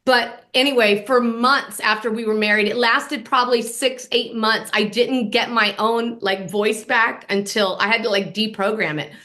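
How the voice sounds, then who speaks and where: A middle-aged woman from Northern California hosts a podcast in a casual, animated chat. She talks with emphatic bursts and swears freely. Her voice is bright and sits a little high. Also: normal speed, few pauses. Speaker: podcast host.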